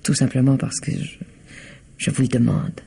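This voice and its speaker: A reserved woman who keeps her composure in her own house, speaking in a calm, dry way in a vintage radio play. Her lines stay measured and guarded. Faint hiss sits under the old recording. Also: very deep voice, creaky, full character voice. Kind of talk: vintage radio drama acting.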